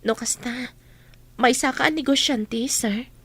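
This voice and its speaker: Sad young waitress Rita, higher-pitched. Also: female voice, measured pace, fairly bright tone, slightly rough. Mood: polite, downcast. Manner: sorrowful, polite. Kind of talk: radio drama acting.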